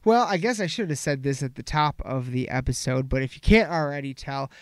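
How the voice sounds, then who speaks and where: A man's voice: medium pitch, brisk, clear, resonant tone, no echo. A young man hosting a casual podcast, talking loosely and with plenty of swearing while getting over a head cold and sniffling. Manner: loose, profane, rambling. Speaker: podcast host.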